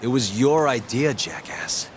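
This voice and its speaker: An actor playing an earnest, easily exasperated young detective in a video game, with a dry, casual delivery. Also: male voice, mid-range voice, expressive, measured pace, a little hiss or hum, natural voice. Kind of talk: video game cutscene dialogue.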